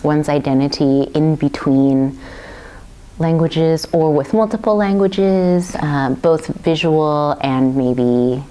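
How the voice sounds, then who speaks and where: Low-voiced woman artist, thoughtful. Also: slow, warm tone. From Taiwan. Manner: thoughtful, many fillers and restarts. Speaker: visual artist. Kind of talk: artist talk about her work.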